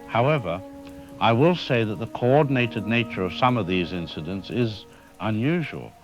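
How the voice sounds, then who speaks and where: Male academic expert, played by an actor: low voice, calm, explanatory, clear music behind. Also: muffled recording, lightly acted. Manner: measured, explanatory, formal. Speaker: expert interviewee. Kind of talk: scripted news interview.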